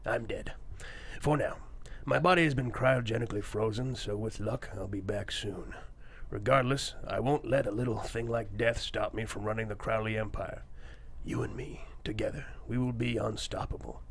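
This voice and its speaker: An actor playing smug, scheming tycoons at a comedy script table read. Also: male voice, on the low side, steady tone, normal speed, vocal fry, a little hiss or hum. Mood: smug, grandiose.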